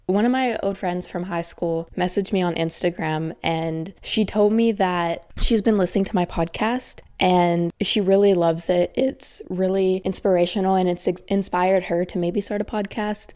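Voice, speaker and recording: A young woman with a cold talks through her solo podcast, her voice congested and stuffy. She sounds earnest and grateful as she reflects. Her tone sits on the low side and comes across mellow. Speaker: podcast host. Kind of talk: casual solo podcast talk.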